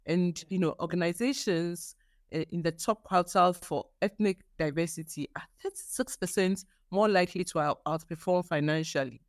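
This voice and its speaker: A woman's voice: on the low side, moderate pace, no echo, studio quality. A woman in her sixties from Ghana, a guest author talking earnestly in a podcast interview and often restarting her words. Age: 60s.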